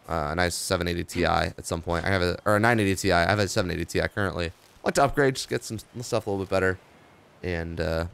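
A young man with a hoarse, worn-out voice, rambling casually through game patch notes as he plays. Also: deep voice, a bit bright. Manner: rambling, offhand, reading patch notes aloud with asides. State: hoarse, tired voice. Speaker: gaming video host. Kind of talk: casual gameplay commentary.

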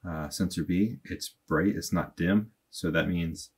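A man in his thirties speaks in a low, slightly husky voice as he talks through a computer tutorial. He thinks aloud in plain, unhurried speech, with the odd filler. He is recorded at a desk in a small room. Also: a little mellow. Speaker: automation tutorial host. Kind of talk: casual screen-recorded tutorial.

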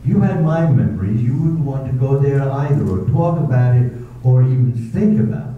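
A man's voice: medium pitch, expressive, normal speed, a little hiss or hum, lightly acted. A man acting a brooding, curt husband with bitter outbursts, recorded tinny, echoey and distant. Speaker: amateur radio drama actor.

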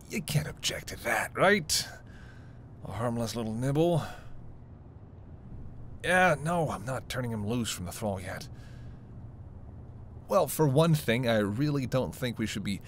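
A man's voice: medium pitch, lightly acted. A man playing a weary, flirtatious vampire with teasing, sarcastic banter in an intimate roleplay audio drama. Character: sardonic, flirtatious male vampire, weary and hungry. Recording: noisy, ambient sound effects behind voice.

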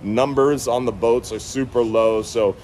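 A man's voice: mid-range voice, fairly even intonation, unhurried, a little mellow, slight background noise. A man in his thirties hosting a casual fishing report outdoors on a beach, speaking into a phone camera at arm's length, upbeat and repeating words for emphasis. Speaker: marina fishing report host. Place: outdoors on a sunny beach by a bridge.

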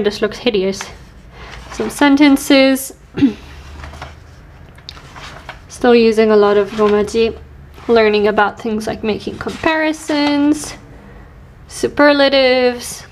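A woman's voice: a little high, a little hiss or hum. A woman in her twenties chatting casually and self-deprecatingly as she shows her study notebooks, laughing now and then. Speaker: language learner vlogger. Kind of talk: casual show-and-tell narration.

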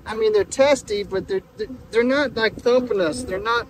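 A man, talking his way through a hive inspection in a relaxed, halting way. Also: sits high. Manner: relaxed, halting, many restarts and fillers. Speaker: beekeeper. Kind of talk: casual hive inspection vlog. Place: outdoor bee yard, open field. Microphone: camera mic on the beekeeper. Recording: outdoor recording with loud bee buzzing. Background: buzzing bees and wind.

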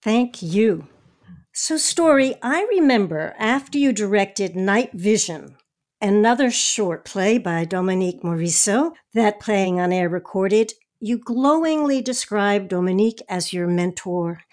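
A woman's voice: medium pitch, lively intonation, unhurried, a little mellow. A woman hosting an audio theatre show, reading credits and questions in a scripted style. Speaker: host. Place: remote recording.

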